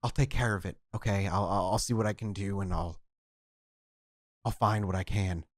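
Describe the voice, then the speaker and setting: A man's voice: on the low side, no echo, clean studio sound, natural voice. A man voicing a nervous, eager young male vampire who stammers and repeats himself. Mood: uneasy. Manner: halting, stammering, repeats words.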